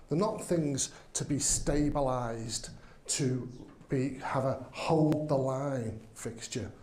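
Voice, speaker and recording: A man's voice: medium pitch, dark tone, echoey room. Enthusiastic Liverpool man giving a talk. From England. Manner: enthusiastic, filler-heavy, says um often. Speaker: conference speaker. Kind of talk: conference talk. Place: conference hall.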